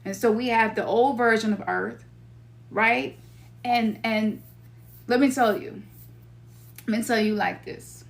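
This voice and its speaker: A middle-aged woman with a Memphis Southern accent gives a loose, slangy spiritual talk to her listeners. Her voice sits a touch high for a woman. She keeps it conversational and now and then acts out her own inner voice. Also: steady tone, unhurried, gravelly, long pauses. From Memphis, Tennessee. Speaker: spiritual teacher.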